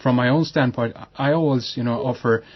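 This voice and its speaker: A man hosting a radio talk show, halting and agreeable. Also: mid-range voice, highs cut off. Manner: halting, many fillers and restarts, agreeable. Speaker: radio host. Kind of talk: hesitant radio interview chat.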